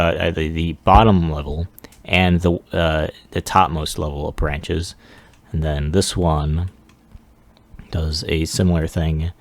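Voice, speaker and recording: Very deep, gravelly, slow-talking man. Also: no echo. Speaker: 3D animation hobbyist presenting a demo. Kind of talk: casual unscripted screen-recording walkthrough.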